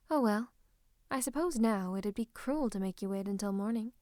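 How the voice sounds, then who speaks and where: A woman playing a scheming royal advisor in a fantasy roleplay, speaking close to the listener in a coaxing, teasing, falsely comforting way with breathy asides. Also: mid-range voice, darkish tone, no echo, lightly acted. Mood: gleeful, teasing. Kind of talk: roleplay ASMR monologue to the listener. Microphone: close microphone.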